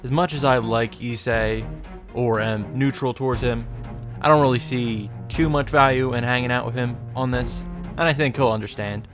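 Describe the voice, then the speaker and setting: A man's voice: medium pitch, fairly even intonation, moderate pace. A man hosting a casual game recap, joking along with wry, playful asides. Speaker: visual novel reaction and summary host. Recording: music under the commentary. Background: game music.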